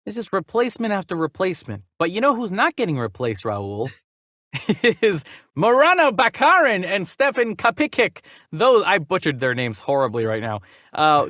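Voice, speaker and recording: A man hosting a casual movie news chat, jokey and animated, sometimes stumbling as he reads. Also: high voice, normal speed, dry recording, studio quality. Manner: jokey, animated, stumbles over names when reading. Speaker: podcast host reading and discussing movie news.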